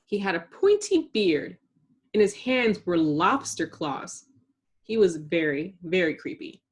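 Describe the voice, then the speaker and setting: A woman reading a children's story aloud in an expressive narrating style, with dramatic emphasis for suspense. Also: on the low side. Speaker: storybook reader.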